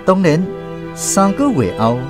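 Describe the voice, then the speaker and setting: Man, Taiwanese accent, radio drama narrator, very animated intonation, music underneath. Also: a little high, measured pace. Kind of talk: scripted storytelling narration.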